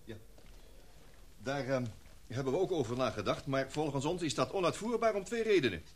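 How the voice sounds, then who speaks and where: A man's voice: mid-range voice, lively intonation, a little hiss or hum, natural voice. Een man die in een hoorspel een ernstige, methodische professor speelt, met een bedachtzame, uitleggende manier van praten. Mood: earnest, grave. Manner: deliberate, explanatory. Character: earnest, methodical male professor advising a commission. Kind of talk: radio drama acting.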